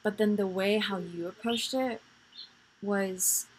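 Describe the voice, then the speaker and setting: A woman in her twenties hosting a podcast, chatting casually about faith in a soft, rambling way full of fillers. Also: mid-range voice, slow, slight background noise, rough recording. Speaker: podcast host.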